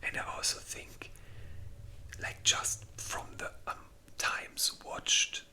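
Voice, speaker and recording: An ASMR creator whispering in a breathy, unvoiced voice, chatting informally and thinking aloud with some hesitation. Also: takes it slowly, bright, forceful tone, rough recording. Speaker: ASMR video creator and host. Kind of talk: whispered ASMR, casual rambling chat.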